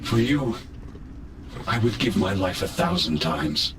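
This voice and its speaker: Gravelly, devoted knight son. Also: male voice, medium pitch, animated. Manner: earnest, halting. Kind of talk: fantasy game cutscene acting. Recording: noisy game ambience.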